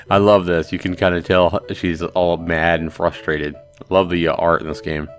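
A man's voice: deep voice, level tone, measured pace, faint music behind. A man hosting a let's play, reading a visual novel aloud with casual, amused commentary.

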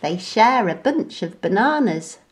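A woman reading a children's bedtime story aloud in an expressive, deliberate storyteller's manner. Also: medium pitch. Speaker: storybook reader.

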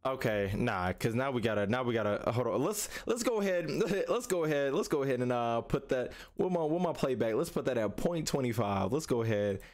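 A man's voice: mid-range voice, very animated, fairly quick, few pauses. Young guy doing reaction commentary, slangy, keeps repeating himself, bit of music behind him. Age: young adult. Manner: slangy, repeats phrases in bursts. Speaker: reaction video host. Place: small room indoors at a streaming setup. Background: music from the trailers he watches.

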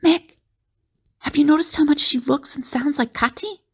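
A woman playing a sceptical, observant time-travelling companion in an audio drama, articulate and questioning, with a creaky, husky voice. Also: high voice, moderate pace, fairly bright tone. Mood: curious.

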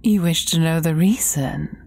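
Evil sorceress: low, mellow, theatrical menace with whispered turns, fairy-tale audio drama. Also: female voice. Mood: amused, ominous. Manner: slow, theatrical, menacing, often dropping to breathy whispers.

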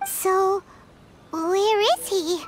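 Very high squeaky little fairy companion. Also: female voice, expressive, extremely slow, soft background music, exaggerated voice. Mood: curious, eager. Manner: exclamatory, questioning. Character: tiny childlike fairy companion, excitable, nosy and blunt. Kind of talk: video game character acting.

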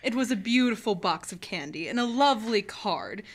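A young woman playing a sweet, gently meddling older mother in old-time radio comedy. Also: mid-range voice, animated, moderate pace. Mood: sweet, pleased. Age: teens or young adult. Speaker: high school student actor. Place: school library room. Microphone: stand microphone.

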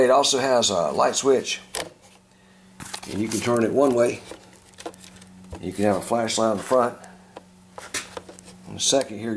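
A man hosting a casual hands-on product review, talking in a folksy, informal, halting way. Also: fairly low pitch. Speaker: host of a product review video channel. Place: at a tabletop, later out in a backyard. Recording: clear background noise, home camera recording.

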